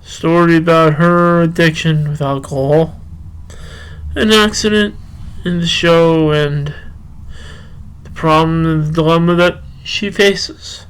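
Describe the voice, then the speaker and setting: A man talking and reading poetry solo as a podcast host, halting, with drawn-out phrases and long pauses. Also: fairly high pitch, fairly bright tone, clear, resonant tone. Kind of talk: rambling solo talk and poem reading.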